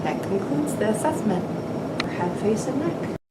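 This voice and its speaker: A woman giving a hands-on clinical demonstration, recorded at a distance from the mic with clear background noise. Her voice has a middling pitch, and she explains each step methodically. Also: animated, moderate pace, reverberant. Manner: methodical, explanatory, lists anatomical terms. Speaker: clinical instructor demonstrating a physical exam. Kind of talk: instructional demonstration, narrating while examining.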